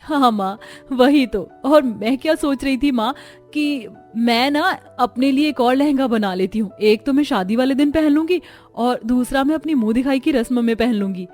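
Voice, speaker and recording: A woman's voice: sits high, normal speed, a little mellow, soft background music, lightly acted. A woman voicing a kind, cheerful young bride with a reassuring, eager manner in a cartoon story. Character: kind, cheerful young bride, helpful elder sister-in-law. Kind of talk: Hindi cartoon story dialogue.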